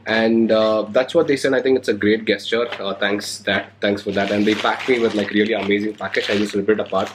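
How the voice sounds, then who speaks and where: A young man with an Indian English accent talks through a casual unboxing, his voice a little worn from a cold. He pauses often with hesitant fillers, and his voice sits on the lower side. A faint hiss runs under the recording. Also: little pitch movement. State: recovering from a cold. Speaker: motovlogger. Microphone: handheld recorder or camera mic.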